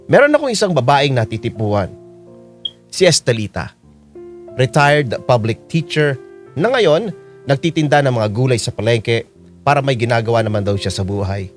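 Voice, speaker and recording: A man's voice: mid-range voice, big pitch swings, moderate pace, faint music behind, natural voice. An actor playing a roguish retired taxi driver in his sixties, narrating his own story in a radio drama. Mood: wistful, playful. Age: 60s.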